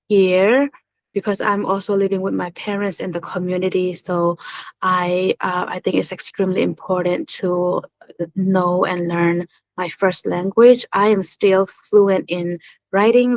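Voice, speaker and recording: Slow, halting woman. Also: on the low side, a little mellow. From Burma. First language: Zaniat. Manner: halting, with many pauses and fillers. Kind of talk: personal testimony, recorded talk.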